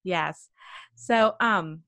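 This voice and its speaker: A woman's voice: on the low side, very slow, pushed and bright, dry recording. A woman hosting a podcast interview, asking questions and affirming her guest with many yeses and mm-hmms. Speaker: podcast host. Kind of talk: casual interview chat.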